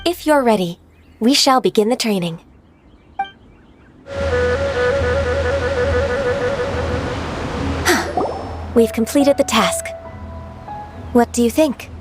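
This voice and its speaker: An actress playing a gentle, timid young woman who speaks softly and politely, over background music. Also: fairly high pitch, drawn-out words, long pauses. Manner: soft, polite, hesitant. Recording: game audio with music behind.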